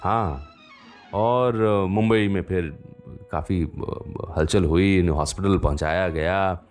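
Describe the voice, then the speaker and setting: A man hosting a radio show, telling stories conversationally with unhurried pauses and fillers. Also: deep voice, darkish tone, soft background music. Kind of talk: conversational storytelling radio presenting.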